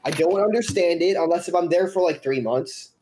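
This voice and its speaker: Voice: mid-range voice, fairly quick, a crowd in the background. Someone chatting casually as host on an online voice call, rambling and repeating phrases. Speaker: host of a fan comic-dub livestream. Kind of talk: casual group chat.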